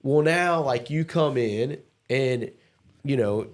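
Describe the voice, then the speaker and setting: Male interviewer, medium pitch, informal and encouraging, conversational delivery. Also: takes it slowly.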